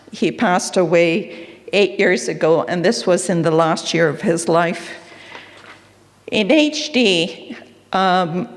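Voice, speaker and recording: Canadian woman speaking in a reverberant hall. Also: fairly low pitch. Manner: earnest, candid, with fillers and asides. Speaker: conference speaker. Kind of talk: personal conference talk. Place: conference hall.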